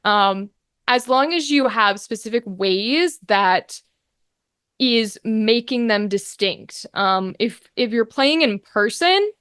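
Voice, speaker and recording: A woman with a fairly high voice chats as a guest in a relaxed interview. Her phrasing is informal and emphatic, and the sound is close and dry. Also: slow, clean tone, no room sound. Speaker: interview guest, voice actor and game master. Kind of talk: casual conversational interview.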